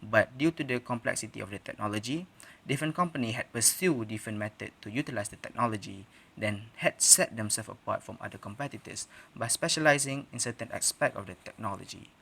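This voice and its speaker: A man's voice: fairly low pitch, fast, vocal fry, a bit bright, husky. A young man speaking as a student debater, giving a prepared speech in a formal, recited manner. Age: young adult.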